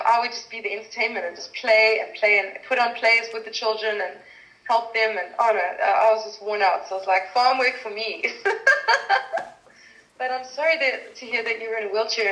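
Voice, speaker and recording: A woman's voice: a little high, steady tone, a little fast, a bit bright. A woman from South Africa, a guest actress telling stories in a casual interview, chatty and laughing often. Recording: echoey room.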